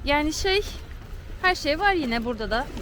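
Woman chatting casually, higher voice, noisy surroundings. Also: animated, moderate pace, fairly bright tone. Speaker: travel vlogger. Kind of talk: casual chat while out on a trip. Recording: noisy outdoor and car ambience. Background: street and vehicle noise.

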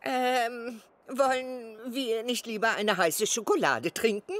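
A woman voicing a kindly older grandmother, gentle and expressive, in a German cartoon dub. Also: on the high side, slow. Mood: nervous. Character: kindly grandmother, former champion haunted by old failure.

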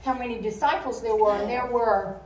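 High-voiced woman storyteller in an echoey church. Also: fairly even intonation, fairly quick. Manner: simple, repetitive coaching with call-and-response. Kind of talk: interactive Bible storytelling to children. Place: church sanctuary stage, indoors. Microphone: recorded by a distant audience camera. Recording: distant, echoey church hall, noisy room. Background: children and room noise.

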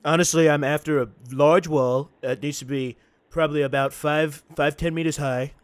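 A man's voice: a little high, fairly even intonation, moderate pace, dry recording, natural voice. A man doing an impression of a brash, repetitive older male politician on a prank call. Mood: brash, insistent. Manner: repetitive, emphatic salesman-like phrasing. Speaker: prank caller. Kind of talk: improvised prank phone call.

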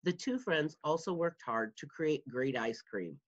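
Husky-voiced woman reading aloud. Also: sits low, animated, unhurried. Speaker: school media specialist reading aloud. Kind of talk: read-aloud of a children's nonfiction book. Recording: dull, muffled lo-fi home recording.

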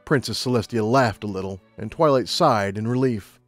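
A man's voice: fairly low pitch, normal speed, faint music behind. A man reading scripted audiobook narration as the narrator of a story.

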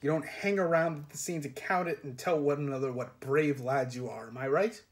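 A man's voice: on the high side, steady tone, brisk, close to an everyday voice. A man voicing a neat, careful, penny-counting little criminal, precise and polite, in a storybook reading. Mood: brisk, instructing.

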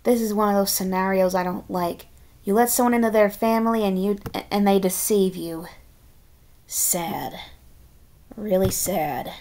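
A woman reading a children's story aloud in an expressive, halting way, with casual chatty asides. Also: medium pitch. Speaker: storybook reader.

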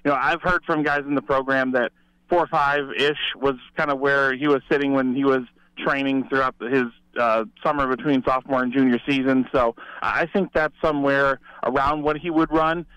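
A man's voice: mid-range voice, fairly even intonation, normal speed, fairly bright tone, clear, resonant tone, no room sound. A man giving conversational football analysis as a reporter guest over a remote call. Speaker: sports reporter, show guest. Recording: slightly dull remote call audio.

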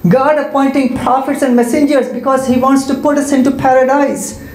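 A man's voice: extremely high pitch, little pitch movement, normal speed. A man whose first language is Hindi and Urdu, answering students' questions in a large hall on a handheld mic, listing his points and checking in with "right?". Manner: explanatory, lists points and adds "right?" checks. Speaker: Islamic speaker answering questions. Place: large indoor community hall. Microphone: handheld microphone. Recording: echoey hall.

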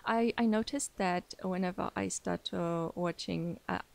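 A woman's voice: on the low side, measured pace, vocal fry, darkish tone. A young woman, a native Armenian speaker, chatting casually in English as a podcast guest and English teacher, with many fillers, restarts and frequent laughs. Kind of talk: casual interview chat.

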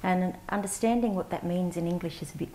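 A middle-aged woman from Central Australia with a soft voice, telling a reflective story as a conference panelist, pausing often with fillers. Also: fairly low pitch, a little hiss or hum, low-quality recording. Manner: warm, reflective, halting with fillers and restarts. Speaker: panelist, CEO of an advocacy organisation. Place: conference stage before a large audience, indoors.

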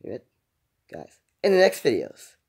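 Young male reaction host, casual delivery, highly varied intonation. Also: huge pitch swings, moderate pace, creaky, darkish tone, husky. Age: teenager or young adult. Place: small room at home.

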